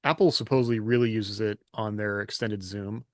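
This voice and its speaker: A man's voice: fairly low pitch, fairly even intonation, moderate pace, no room sound, clean studio sound. A man chatting casually as a co-host on a podcast.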